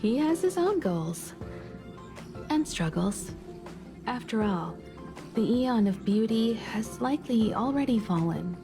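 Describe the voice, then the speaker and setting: A wise calm game lady explaining things, formal and thoughtful, music under her. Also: a little high, slow, pauses often, full character voice. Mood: reflective. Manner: composed, reflective, formal. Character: wise, composed woman explaining lore to young travelers. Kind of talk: video game voice acting.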